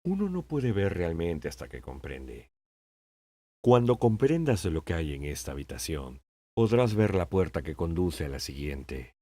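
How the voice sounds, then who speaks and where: Guy doing a wise old king for an audiobook, deep voice, patient, talks in measured little lessons. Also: big pitch swings, dark tone, no room sound, exaggerated voice. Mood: welcoming, cryptic. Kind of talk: audiobook character acting.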